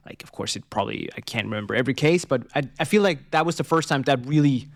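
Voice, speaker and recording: A man's voice: medium pitch, animated, fast talker, dry recording, clean studio sound. A man from Denmark co-hosting a marketing podcast, chatting loosely and searching for words. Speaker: podcast co-host. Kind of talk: casual chat.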